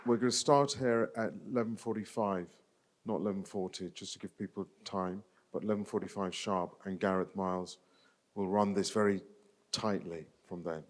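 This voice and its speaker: A man making brisk, businesslike announcements to a symposium audience. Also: low voice, fairly even intonation. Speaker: session organizer.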